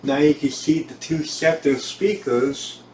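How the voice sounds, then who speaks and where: Halting man in an echoey room, off-mic. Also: fairly high pitch, fairly even intonation, darkish tone. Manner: halting, piecemeal phrasing. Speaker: home recording hobbyist presenting own gear. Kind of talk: casual gear tour, narrated while filming. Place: home recording room, indoors. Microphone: camera on tripod, some distance away. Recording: roomy echo, distant mic, hissy background.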